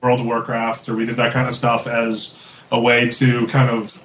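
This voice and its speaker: A man's voice: mid-range voice, completely flat delivery, moderate pace. A man around thirty, a studio artist, speaking candidly and earnestly in a casual chat, with frequent fillers. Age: 30s. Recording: roomy with faint background noise.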